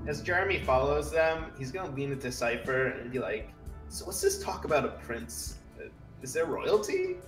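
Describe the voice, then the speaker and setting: A man's voice: on the high side, sing-song, moderate pace. A man playing in a tabletop roleplaying game, casually saying what his character does and says. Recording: roomy, distant mic, faint music.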